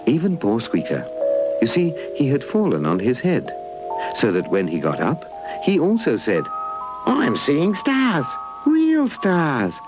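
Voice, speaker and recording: Animated British male storyteller on old tape. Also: on the low side. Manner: animated, expressive storybook delivery. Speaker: narrator. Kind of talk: scripted children's storytelling. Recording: dull lo-fi VHS tape transfer. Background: music.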